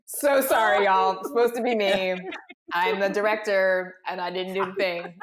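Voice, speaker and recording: A woman's voice: mid-range voice, fairly even intonation, moderate pace. A woman hosting a casual cast conversation as a voice director, with a jokey, affectionate manner and easy laughter. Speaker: host and voice director. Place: livestream, echoey room. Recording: roomy echo with light noise and clicks.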